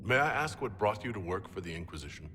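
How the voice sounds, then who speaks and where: An earnest, courteous noble hero in a game cutscene. His slightly low voice is crisp and forceful, with quick, direct delivery. Reverb trails behind it. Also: animated, slight background noise. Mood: polite, curious. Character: earnest, polite heroic nobleman. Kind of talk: dramatic game cutscene acting.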